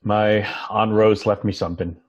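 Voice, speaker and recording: Man playing a hesitant husky husband, low-voiced. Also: fairly even intonation, normal speed, muffled recording, natural voice. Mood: subdued, wistful. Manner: hesitant, understated. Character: married unemployed man, melancholy and hesitant. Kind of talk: naturalistic table read of a TV drama.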